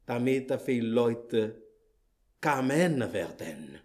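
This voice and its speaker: Slow, halting man with a French accent. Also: medium pitch, sing-song, a little mellow. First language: Malagasy. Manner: halting, many fillers and restarts, searches for words. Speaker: visiting preacher. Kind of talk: church greeting and sermon opening. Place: church hall.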